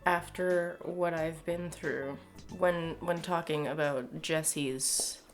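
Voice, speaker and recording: A woman's voice: sits low, fairly even intonation, slow pace, faint music behind. A young adult woman with a Canadian accent giving casual, emphatic opinion commentary to camera in a sardonic, exasperated way. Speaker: video commentator. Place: small home room, indoors.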